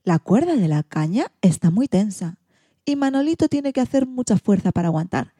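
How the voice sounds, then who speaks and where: A woman reading a children's storybook in an expressive, theatrical way. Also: medium pitch, moderate pace, breathy edge, dry recording. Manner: theatrical, acts out sound effects and exclamations.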